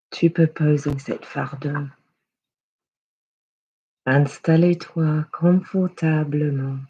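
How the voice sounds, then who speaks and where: A person guiding a yoga nidra relaxation in a hushed, soothing, unhurried way with long pauses. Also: mid-range voice, breathy edge, highs cut off. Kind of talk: guided relaxation meditation.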